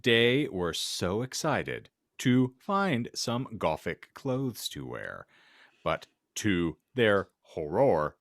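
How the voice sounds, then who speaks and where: A man with a fairly low voice hosts a comedy podcast. His delivery is slow and wry but swoops expressively, as he banters and reads stories aloud in a mock-serious way. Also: big pitch swings, fairly bright tone, no echo, clean studio sound. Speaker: podcast host. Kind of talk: casual banter and mock-serious reading aloud of fan fiction.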